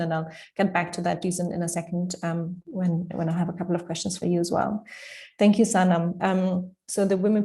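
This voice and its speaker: Mellow, even-toned woman moderator. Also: on the low side. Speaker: moderator of an online panel. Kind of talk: informal moderating. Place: indoors, on a video call. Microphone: video call.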